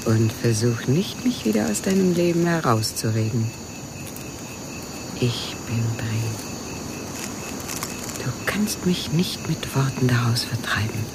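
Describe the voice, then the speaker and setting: An actress playing an intense, clinging widow in a German radio crime drama, with dramatic delivery that moves between breathy whispers and outcries. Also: female voice, extremely low pitch, expressive, slow, long pauses, noisy background, heavily acted. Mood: tender, pleading. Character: Janet, intense, clinging widowed lover, wounded and pleading.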